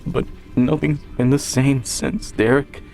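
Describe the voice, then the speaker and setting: A man playing an unstable woman in an audio thriller, pitched well up from his deep natural voice. She is tearful, accusing and volatile, with music underneath. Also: steady tone, a little slow, lightly acted. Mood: tearful, haunted. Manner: sobbing, snapping, shouting.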